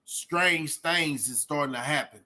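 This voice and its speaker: High, bright-voiced man ranting casually. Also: measured pace. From Texas, United States. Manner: streetwise slangy talk with frequent "man" and "bro". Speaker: host of a reaction livestream. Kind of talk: casual opinionated rant to viewers.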